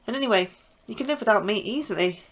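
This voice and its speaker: A woman plays an anxious young astrobiologist, earnest and forever asking questions. Her voice sits at an ordinary middle pitch, in a sci-fi horror audio drama. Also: expressive, fast, low-quality recording.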